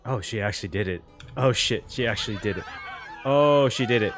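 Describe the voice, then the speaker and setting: A man giving casual, spontaneous reaction commentary as he plays a story-driven game, in an expressive way. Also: lowish voice, brisk. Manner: expressive, exclamatory. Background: game music.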